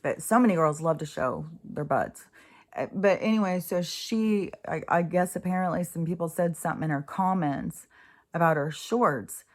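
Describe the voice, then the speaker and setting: A woman's voice: lowish voice, normal speed. A woman in her sixties talking casually and candidly in a rambling spiritual monologue, swearing freely. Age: 60s. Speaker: host.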